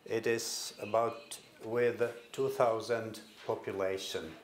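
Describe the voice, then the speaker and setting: An older man from Finland with a Finnish accent gives a conference presentation in a hall, speaking haltingly and repeating words as he restarts. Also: on the low side, steady tone. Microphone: stand microphone at a podium.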